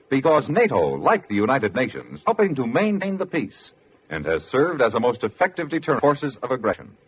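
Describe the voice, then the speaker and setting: Husky radio announcer, earnest and emphatic. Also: male voice, mid-range voice. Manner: earnest, formal. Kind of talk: scripted public-service radio announcement. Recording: muffled old radio recording.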